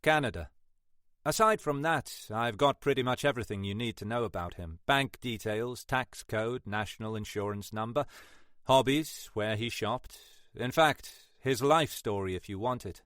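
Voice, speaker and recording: A man's voice: mid-range voice, normal speed, a bit bright, clean tone, no echo, studio quality, close to an everyday voice. A man voicing a cocky young tech expert in his twenties with a posh English accent. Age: 20s. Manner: cocky, self-assured.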